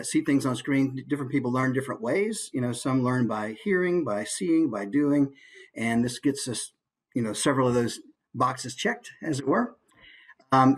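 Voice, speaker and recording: A man giving an informal online presentation in an easygoing, conversational way, with hesitant pauses as he goes. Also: medium pitch. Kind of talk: informal online talk with slides. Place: online video call.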